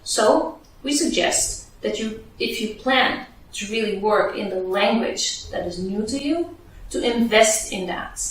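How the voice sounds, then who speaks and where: A woman's voice: medium pitch, slight background noise. Lady explaining tips for researchers, super echoey room, mic kinda far off. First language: Dutch. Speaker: podcast host giving advice to researchers. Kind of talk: scripted advice video.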